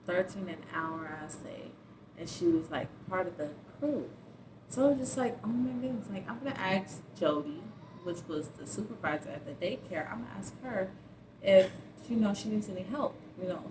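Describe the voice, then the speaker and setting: A woman in her early twenties talks casually and informally, as if rambling through a story for her vlog. Her voice sits at an ordinary pitch. The room echoes around her, with clear noise in the recording. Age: early 20s. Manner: informal, slangy, conversational. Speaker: vlogger. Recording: roomy echo, clearly noisy background.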